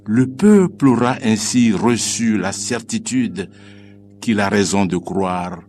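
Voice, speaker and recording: Slow, low-voiced man reciting solemn poetry. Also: expressive, darkish tone, slight background noise. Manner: declamatory, measured phrasing with deliberate pauses. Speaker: poet reciting own poem. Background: music.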